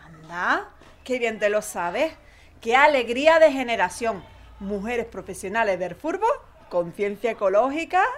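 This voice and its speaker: A woman's voice: a little high, very animated, a little slow. A woman playing a cheerful, chatty football-fan neighbour in an audio drama, effusive and folksy, with an Andalusian accent. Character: chatty, cheerful office-worker mother, keen football fan. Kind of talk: conversational audio fiction acting.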